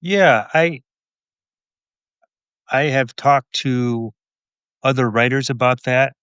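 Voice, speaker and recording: A man answering interview questions as a guest author, casual and reflective, in a thoughtful, measured manner. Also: mid-range voice, fairly bright tone, clean tone, dry recording, highs cut off.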